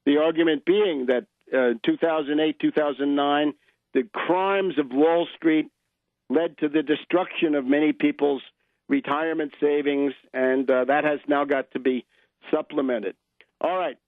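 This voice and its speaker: A man's voice: on the high side, fairly even intonation, measured pace, dry recording. An older American man giving unscripted, polemical political commentary in a didactic way, often checking in with short rhetorical tags. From United States. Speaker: radio commentator and host. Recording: narrow phone-like line, dry.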